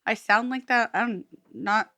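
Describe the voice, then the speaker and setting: A woman's voice: fairly high pitch, a little slow, pushed and bright. A woman talking casually and informally as a player in a tabletop game podcast.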